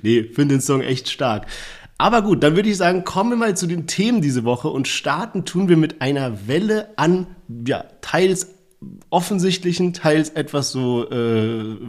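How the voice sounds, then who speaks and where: A man's voice: a little high, animated, normal speed, a little mellow. Casual German man chatting about rap. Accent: Palatinate German. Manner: loose, filler-heavy, hedging. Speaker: podcast co-host.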